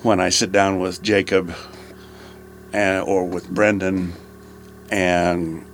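Middle aged dad chatting in an interview, lowish voice, bit of background noise. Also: male voice, level tone, slow pace. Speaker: interview guest, a father. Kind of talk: casual conversational interview.

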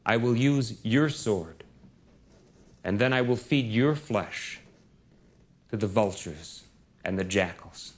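A man's voice: fairly low pitch, animated, slow, pauses often, voice pushed down. A man voicing a brave, devout young shepherd boy who speaks eagerly and earnestly. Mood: defiant.

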